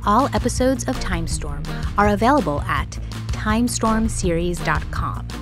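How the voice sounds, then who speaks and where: Female narrator for scripted podcast credits, medium pitch, music bed. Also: animated, moderate pace. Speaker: show director reading credits.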